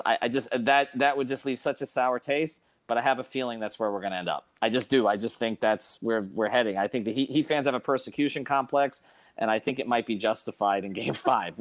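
A man with a medium-pitched voice hosts a casual sports discussion. He is emphatic, often doubling back on phrases to stress a point. The sound is dry, with little room to it. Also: no room sound. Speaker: sports podcast host.

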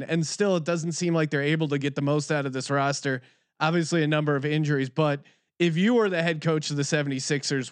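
A man's voice: on the high side, smooth, no echo. A man hosting a casual podcast chat, upbeat and laughing easily. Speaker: podcast host.

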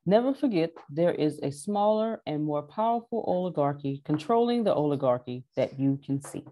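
A woman's voice: sits low, expressive, moderate pace, dark tone, rough recording. A woman who once taught social studies, reading a passage aloud and then chatting plainly in a group meeting. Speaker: former social studies teacher.

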